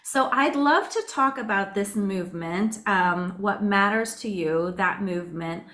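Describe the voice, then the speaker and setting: A woman's voice: mid-range voice, a little slow, fairly bright tone. A woman hosting a podcast, introducing her guest and encouraging him with frequent murmurs of assent. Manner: encouraging, lots of backchannel murmurs. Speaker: podcast host. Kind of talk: podcast interview.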